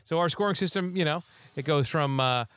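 A man hosting a casual game review podcast, talking in a relaxed, rambling way with lots of fillers. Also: a little high, a little fast, clean tone, no room sound. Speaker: podcast host.

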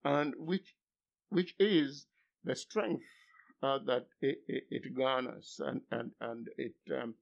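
Older man, philosophy professor, first language Luo, gravelly voice, hesitant then emphatic, muffled lo-fi sound. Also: medium pitch, fairly even intonation. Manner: halting, hesitant, then emphatic rhetorical questions. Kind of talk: academic interview. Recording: dull lo-fi recording.

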